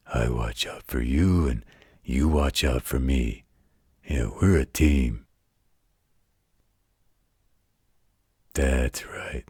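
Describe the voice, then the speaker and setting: Gruff male werewolf guardian acted by a man, extremely low and raspy, tender folksy bedtime storyteller for an intimate audio roleplay. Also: no room sound, clean studio sound, heavily acted. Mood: tender, teasing. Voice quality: very deep, gravelly, husky. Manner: folksy, unhurried, gently wry.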